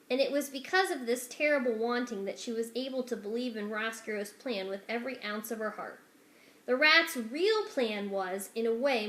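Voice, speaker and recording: A woman reading a children's novel aloud as expressive storytelling narration. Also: a little high, slight background noise. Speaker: story reader. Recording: roomy indoor echo.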